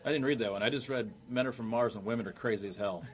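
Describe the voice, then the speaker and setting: A man's voice: medium pitch, very fast. A man in his late thirties, a comedian contestant trading casual, exclamatory wisecracks. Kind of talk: casual joking banter.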